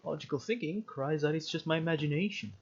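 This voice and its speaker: A man's voice: a little high, quick pace, slightly rough, dull audio. A man doing a let's play of a visual novel, reading the text aloud and breaking off with jokey, sweary reactions. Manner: sweary, jokey exclamations between read-aloud passages. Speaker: gaming video host.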